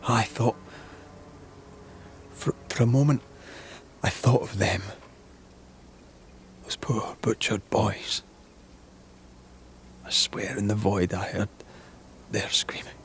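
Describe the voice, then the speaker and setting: A man's voice: on the low side, slow pace, pauses often, slight background noise. A man playing a war-haunted ghost hunter in a video game, with a Scottish accent and an earnest, plain-spoken manner. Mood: haunted, grieving. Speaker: game voice actor. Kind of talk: dramatic game dialogue.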